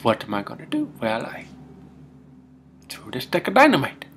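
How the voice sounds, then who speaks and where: A man playing a folksy, rambling Minnesotan husband with a Scandinavian-tinged Minnesota accent, telling comic tall tales in halting, homespun grammar. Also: mid-range voice, huge pitch swings, a bit bright, breathy edge, heavily acted. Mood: bewildered. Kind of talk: comic tall-tale storytelling.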